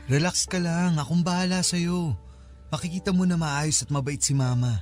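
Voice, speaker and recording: An actor with a Filipino accent playing an easygoing, reassuring young boyfriend in a radio drama, casual and breezy. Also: male voice, on the high side, steady tone, moderate pace, darkish tone, faint music behind, close to an everyday voice. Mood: relaxed, reassuring.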